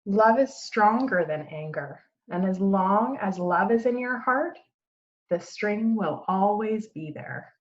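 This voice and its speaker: A woman's voice: medium pitch, expressive, slow pace, muffled recording. A woman voicing a loving, reassuring mother in a children's storybook. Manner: soothing, reassuring. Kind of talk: children's storybook read-aloud.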